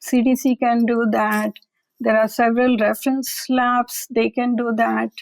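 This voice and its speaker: Woman lab scientist, gravelly voice, explains things plainly. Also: on the high side, flat delivery, a little slow, no echo, rough recording. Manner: explanatory, plain-spoken, with occasional fillers. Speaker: public health lab scientist. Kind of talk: expert explaining in interview.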